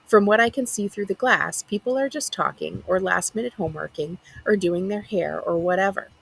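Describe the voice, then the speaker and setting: A woman librarian reading a first-person children's novel aloud as expressive narration. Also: mid-range voice, brisk, gravelly, breathy edge, low-quality recording.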